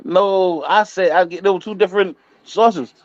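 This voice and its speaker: A man's voice: sits high, moderate pace, highs cut off. A man in a casual, argumentative discussion, speaking colloquially and insistently and repeating himself.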